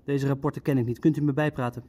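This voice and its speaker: An actor playing a puzzled, legalistic Nazi official in a radio play, questioning and formal, with a husky voice. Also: male voice, medium pitch, fairly even intonation, quick pace, dark tone, natural voice. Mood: confused, insistent. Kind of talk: dramatic dialogue in a radio play.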